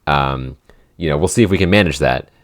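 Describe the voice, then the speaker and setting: A man's voice: very deep voice, fairly quick. A man, one of a podcast's creators, chatting informally and thinking aloud in loosely phrased, unscripted answers. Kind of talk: casual unscripted behind-the-scenes chat.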